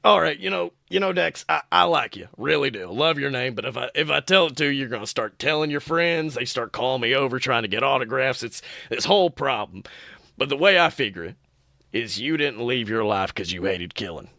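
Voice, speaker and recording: A decrepit, pushy ghoul played by a man from a comic horror story. The voice is gravelly and forceful, rattling off folksy slang like a crooked salesman. It is recorded close and dry. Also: medium pitch, animated, no echo. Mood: wheedling. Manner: fast-talking, wheedling, folksy slang. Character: sleazy decrepit undead trickster ghoul, pushy pitchman.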